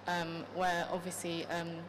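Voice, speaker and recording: Woman from Japan, discussion participant. Rather low, very even voice, polite and tentative, over clear background noise. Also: unhurried. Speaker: event participant, mother. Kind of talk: personal remarks in a group discussion. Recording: clear background noise, live event room.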